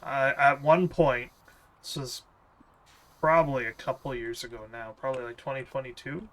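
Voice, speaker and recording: A man's voice: mid-range voice, steady tone, normal speed, a bit bright, rough recording. A man streaming gameplay, chatting casually and answering viewer questions in a laid-back, rambling way. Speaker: gaming streamer.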